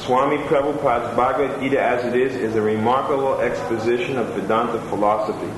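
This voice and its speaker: A man's voice: medium pitch, steady tone, quick pace. A man reading letters and reviews aloud, plain and attentive, adding his own asides. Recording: roomy room with clear background noise.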